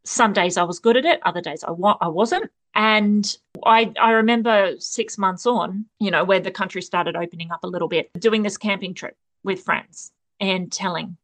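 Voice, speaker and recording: Woman author guest, mid pitch, reflective and earnest. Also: moderate pace, a bit bright. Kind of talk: personal storytelling in interview.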